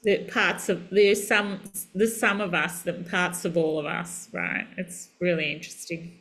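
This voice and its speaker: A woman whose was Italian, speaking as a podcast guest. She talks slowly and thoughtfully, often pausing and trailing off mid-sentence. Her voice sits a little low but has a bright, forward tone. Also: steady tone. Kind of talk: casual podcast conversation.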